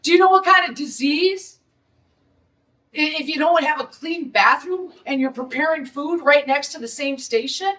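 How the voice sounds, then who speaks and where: A woman's voice: high voice, moderate pace, a bit bright, distant mic. A middle-aged woman from Detroit with a Detroit accent, a restaurant owner holding a blunt, indignant press conference.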